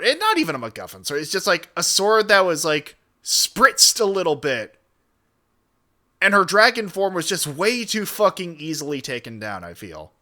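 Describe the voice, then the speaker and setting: A man's voice: high-pitched, sharp tone. A man giving casual, opinionated commentary as a streamer, talking emphatically and wandering into digressions. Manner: emphatic, digressive, frequent swearing. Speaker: streamer reacting to a video.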